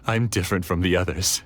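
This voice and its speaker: An actor playing a bitter, resigned scholar in a video game, brooding and dramatic. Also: male voice, sits low, fairly bright tone, full character voice. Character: despairing male scholar, bitter, obsessed, resigned.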